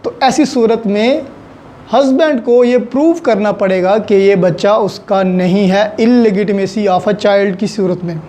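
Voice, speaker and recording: A law lecturer with a Pakistani accent explains with examples in deliberate, halting phrases, mixing in English legal terms. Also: mid-range voice, mellow.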